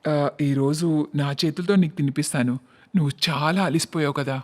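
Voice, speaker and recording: A man's voice: on the high side, steady tone, fairly quick, natural voice. A man voicing a kind, caring young husband in a cartoon story. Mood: gentle. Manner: gentle, reassuring.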